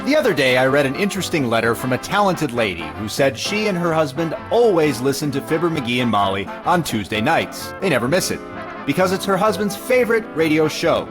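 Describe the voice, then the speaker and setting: A man's voice: a little high, very animated, brisk, over music. A man playing a polished, salesmanlike American announcer in a 1940s radio style. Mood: genial, persuasive. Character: genial 1940s radio pitchman.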